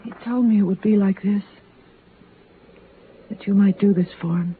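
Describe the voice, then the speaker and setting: A woman's voice: medium pitch, steady tone, moderate pace, close to an everyday voice. A young frontier widow played by a woman, solemn, sorrowful and resigned, in a medium register. The vintage radio western sound is muffled and narrow, with faint noise. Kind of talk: old-time radio western acting.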